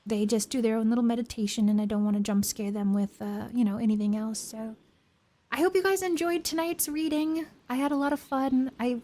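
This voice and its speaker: A young woman whose voice is worn out and hoarse after a long reading session. She talks casually to her stream, rambling with fillers and little giggles. Her voice sits a bit high. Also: warm tone. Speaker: streamer reading a book aloud. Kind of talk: casual stream chat after a read-aloud.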